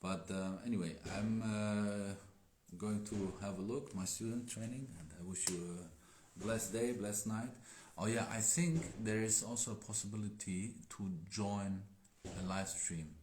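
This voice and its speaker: A man who is not a native English speaker, chatting casually as a Daoist teacher on a livestream, halting with many fillers. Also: on the low side, steady tone, darkish tone. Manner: halting, filler-heavy, laughs often. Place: indoor room with tea table. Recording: echoey room, faint noise.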